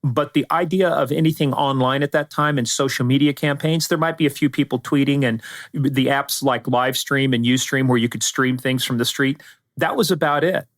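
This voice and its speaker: A man's voice: medium pitch, steady tone, moderate pace, a bit bright, no echo, clean studio sound. A middle-aged or older man with an American accent, giving emphatic, informal, anecdotal answers as an expert interview guest. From United States, spent part of childhood in Iran. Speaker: security expert guest, former law enforcement advisor.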